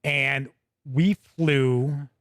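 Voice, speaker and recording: A man's voice: medium pitch, steady tone, extremely slow, fairly bright tone, no echo, clean studio sound. A middle-aged American man hosting a podcast in casual, rambling chat, often breaking off and restarting his thoughts. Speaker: podcast host.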